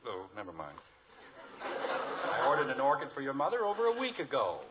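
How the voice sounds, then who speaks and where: Exasperated radio sitcom dad, wildly swinging pitch. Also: male voice, huge pitch swings, normal speed. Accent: American. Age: middle-aged. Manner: grumbling, wry sarcasm. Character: exasperated middle-class father of a family. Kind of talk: 1950s radio sitcom acting. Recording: muffled old radio recording, noisy.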